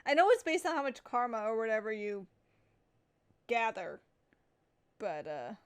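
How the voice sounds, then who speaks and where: A woman's voice: on the high side, sing-song, measured pace, clean tone. A young woman gamer chatting casually and reading game text aloud, full of fillers and giggles. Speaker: gaming streamer.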